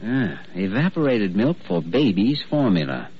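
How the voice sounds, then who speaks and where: An actor playing an earnest, easygoing young doctor in vintage radio drama, polite and gently wry. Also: male voice, lowish voice, sing-song, normal speed, slight background noise. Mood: affectionate, teasing. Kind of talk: 1940s radio drama acting. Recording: muffled old radio recording.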